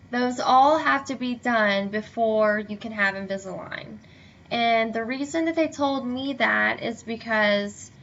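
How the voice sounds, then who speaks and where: A young woman chatting casually to camera, hesitant with many fillers, sounding a bit hoarse and tired. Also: a little high, pushed and bright, slight background noise. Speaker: vlogger. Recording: dull lo-fi webcam audio.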